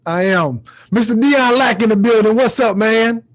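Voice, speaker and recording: A man's voice: sits high, moderate pace. A man hosting a podcast, chatting casually as he introduces and questions a guest. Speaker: podcast host. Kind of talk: casual podcast interview chat.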